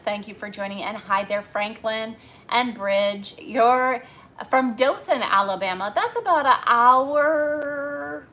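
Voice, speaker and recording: A woman's voice: mid-range voice, sharp tone. A woman hosting a casual livestream, chatty and rambling as she talks with her viewers. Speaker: livestream host. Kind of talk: casual live chat with viewers.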